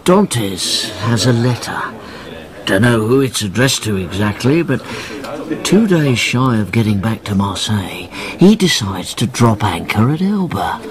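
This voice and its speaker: Sly, slow-talking scheming sailor. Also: male voice, fairly low pitch, lively intonation. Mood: sly, conspiratorial. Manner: measured, insinuating. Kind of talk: radio drama acting. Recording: tavern chatter behind.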